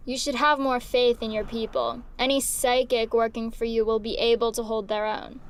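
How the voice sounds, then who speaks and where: An actress playing a world-weary, independent demon hunter in a scripted audio drama, dry, blunt and sardonic. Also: female voice, a little high, flat delivery, moderate pace, close to an everyday voice. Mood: guarded. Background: music.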